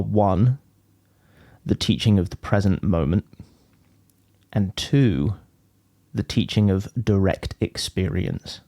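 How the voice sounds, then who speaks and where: A man with a low, mellow voice leads a guided meditation at a very slow pace. He teaches in deliberate, measured phrases, leaving frequent pauses between them. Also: dry recording, clean studio sound.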